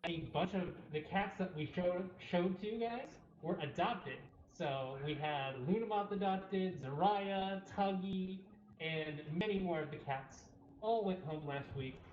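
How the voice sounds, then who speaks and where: A woman's voice: fairly low pitch, measured pace, a little mellow, dull audio, a little hiss or hum. A woman casually hosting a livestream walkthrough in an informal way. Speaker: cat cafe host. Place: indoor cat cafe room. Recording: roomy echo, faint music behind. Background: faint music.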